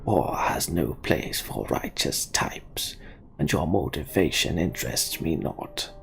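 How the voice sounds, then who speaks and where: A menacing demon count from a fantasy game, speaks in a very low, gravelly whisper. The delivery is slow and haughty, with cold disdain for those beneath. Music plays underneath. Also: male voice, animated, heavily acted. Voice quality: deep, breathy, growling whisper.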